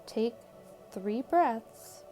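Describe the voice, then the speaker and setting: Calm lady doing guided meditation, super slow w long pauses, mellow soothing voice, bit of music behind. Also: a little high. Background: background music.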